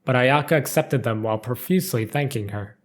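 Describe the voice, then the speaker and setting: A man's voice: mid-range voice, a little fast. A man reading a scripted story aloud as narrator, with formal, careful delivery.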